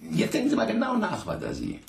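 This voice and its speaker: An actor in a radio drama playing a sardonic, bullying middle-aged French police investigator, condescending and theatrical. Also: male voice, sits high, huge pitch swings, fast, gravelly, reverberant, full character voice. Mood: interrogating. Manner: condescending, theatrical, heaving between scorn and mock courtesy. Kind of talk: German radio drama acting.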